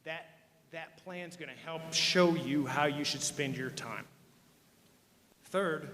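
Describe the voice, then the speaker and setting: A middle-aged man giving a joking, folksy speech to high school students, addressing the crowd directly. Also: fairly bright tone. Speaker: hall of fame inductee speaker. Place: large school gym. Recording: echoey gym from far off.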